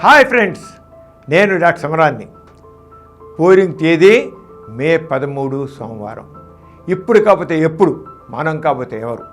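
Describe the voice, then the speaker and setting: A man's voice: a little high, expressive, normal speed, long pauses, faint music behind. A man, a doctor, earnestly urging listeners in a short public service announcement. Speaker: doctor making a public appeal.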